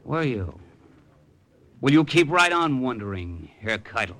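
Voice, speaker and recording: An actor playing a cynical, tough-talking American adventurer who narrates in a wry, slangy, clipped radio drama style. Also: male voice, mid-range voice, very animated, a little slow, a crowd in the background. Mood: dry, needling. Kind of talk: 1950s radio drama acting, hard-boiled narration. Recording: old radio recording with music behind.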